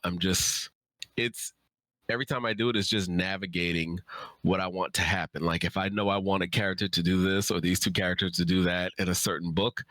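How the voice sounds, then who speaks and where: A man's voice: low voice, a bit bright, no room sound. A man who is an author, chatting informally and answering questions in a loose, rambling way. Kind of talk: casual chat, answering audience questions.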